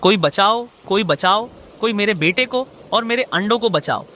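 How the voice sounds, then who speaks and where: Man acting a bright, high-voiced scheming crow husband. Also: lively intonation, normal speed, slight background noise. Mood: panicked. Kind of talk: Hindi moral-story cartoon dialogue.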